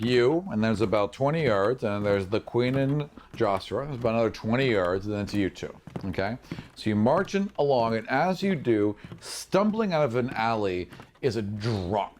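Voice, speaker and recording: A man narrating a tabletop fantasy game as game master, in an animated, conversational way. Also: medium pitch.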